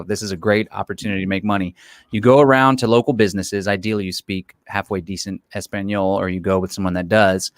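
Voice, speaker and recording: A man's voice: on the low side, dry recording, studio quality. A man hosting a live-stream interview, explaining his opinions at length. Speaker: interview host.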